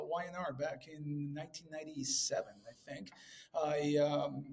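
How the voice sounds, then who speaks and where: A middle-aged man from Colombia telling his career story in a casual interview, animated with frequent fillers. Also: fairly high pitch. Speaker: podcast guest, creative director.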